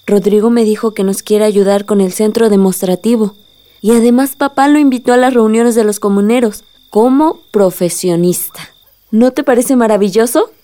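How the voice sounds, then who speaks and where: A woman's voice: mid-range voice, normal speed, mellow, close to an everyday voice. A young Mexican woman acting a trusting, lovestruck daughter in a radio soap opera. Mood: dreamy, happy. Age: young adult.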